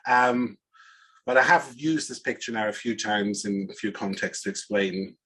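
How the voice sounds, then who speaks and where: A middle-aged man from Switzerland with a Swiss accent, presenting informally as a guest speaker in a halting, reflective manner. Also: on the low side, pushed and bright, husky. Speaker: agile coach and guest speaker. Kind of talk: informal conference-style presentation.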